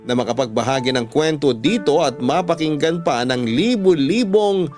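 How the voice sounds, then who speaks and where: A man with a fairly high-pitched voice and a Filipino accent reads a listener's letter as a radio host. It is first-person storytelling, with music playing underneath. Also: animated, normal speed, darkish tone.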